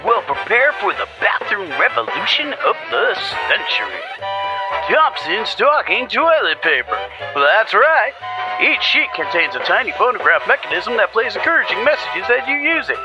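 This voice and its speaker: High-pitched, animated 1940s radio announcer. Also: male voice, over music, rough recording. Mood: excited pitch. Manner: theatrical salesman patter with emphatic pauses. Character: peppy, overexcited male 1940s radio commercial announcer.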